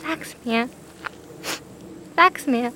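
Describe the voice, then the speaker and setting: A high-voiced thirteen-year-old village girl in a radio play. She is earnest, loyal and insistent, speaking plainly over faint background noise. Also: very slow, sharp tone, full character voice. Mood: pleading, insistent. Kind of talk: radio drama acting.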